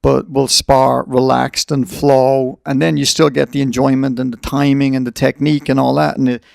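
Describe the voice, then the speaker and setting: A man's voice: medium pitch, little pitch movement, moderate pace, creaky, a little mellow, no room sound, studio quality. A middle-aged man talking in a casual, reflective and blunt way as he hosts a podcast conversation.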